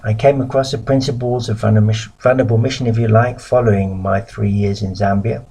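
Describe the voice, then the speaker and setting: A middle-aged man from the UK giving a recorded lecture as a missionary, measured and pausing often. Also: fairly low pitch, steady tone, vocal fry, warm tone, slightly rough, rough recording. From United Kingdom.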